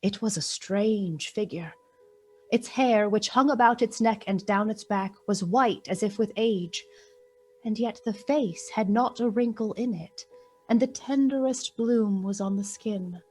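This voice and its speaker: A woman playing the storyteller of a Victorian ghost tale, with measured, literary, expressive delivery in a radio play. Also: medium pitch, husky, faint music behind. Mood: measured, wondering. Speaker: narrator.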